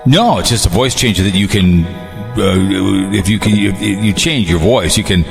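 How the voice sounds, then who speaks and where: Rambling low-voiced man chatting. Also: normal speed, gravelly, in a large hall, soft background music. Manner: rambling, repetitive, stammering over phrases. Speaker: online show host and comic voice performer. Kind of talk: casual group chat.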